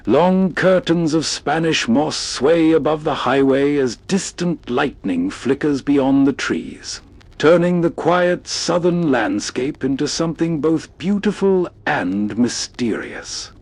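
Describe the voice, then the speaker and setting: A man's voice: on the high side, expressive, takes it slowly, dry recording. A man reading scripted, atmospheric travelogue narration as host. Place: dry studio-style voice-over.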